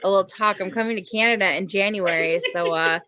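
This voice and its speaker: A woman's voice: medium pitch, quick pace, bright, forceful tone. Sweary, blunt woman podcast host. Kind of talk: casual chat about a TV show.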